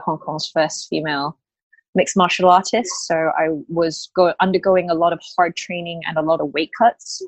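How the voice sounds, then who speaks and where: Lower-voiced woman, dry close sound. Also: dry recording, low-quality recording. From Australia. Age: late 30s. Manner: hesitant, many fillers and restarts. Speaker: guest, health coach. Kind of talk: podcast interview.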